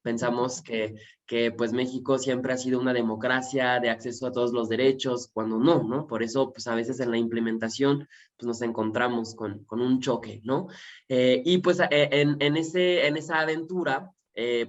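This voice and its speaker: A man's voice: medium pitch, muffled recording. A young man from France, a guest activist, speaking hesitantly with many fillers. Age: young adult.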